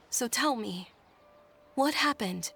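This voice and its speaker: A woman's voice: fairly high pitch, expressive, drawn-out words, vocal fry, pushed and bright, slightly rough, heavily acted. A young noblewoman in a video game. She is courteous and composed, with an earnest, caring and slightly troubled way of speaking. Music plays faintly underneath. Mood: attentive, composed. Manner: composed, courteous, well-spoken.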